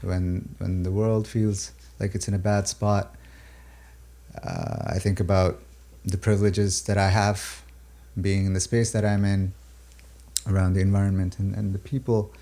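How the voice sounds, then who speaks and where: A man from Pakistan and the UAE, native Urdu speaker, talking thoughtfully about his music in a halting, filler-filled way. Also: low-pitched, level tone, gravelly, mellow. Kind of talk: reflective interview talk.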